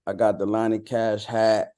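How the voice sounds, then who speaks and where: A man with a fairly low voice talks casually as an interview guest. He uses easy slang and feels his way through his answers in a halting manner. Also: little pitch movement, dark tone. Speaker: rapper being interviewed. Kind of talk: casual chat.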